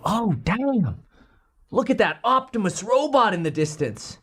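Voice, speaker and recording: A man putting on a gruff, bossy foreign official in a mock angry German accent, barking clipped commands. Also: high-pitched, big pitch swings, measured pace, fairly bright tone, full character voice.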